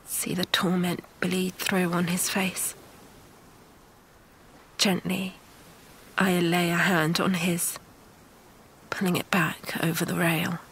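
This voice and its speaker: A woman's voice: on the low side, little pitch movement, slow pace, pauses often, a bit bright, a little hiss or hum, poor audio. A woman playing a desperate, protective mother at sea in an audio drama, narrating in the first person with intense emotion. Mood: tense, bleak. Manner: intense, emotionally charged delivery.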